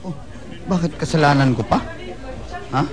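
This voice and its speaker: A man's voice: on the high side, moderate pace, close to an everyday voice. A man playing a defensive, boastful father and ex-husband in a radio drama, with an argumentative delivery. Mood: defensive, indignant. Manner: indignant, argumentative. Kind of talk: Filipino radio drama acting. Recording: busy crowd and traffic sounds behind.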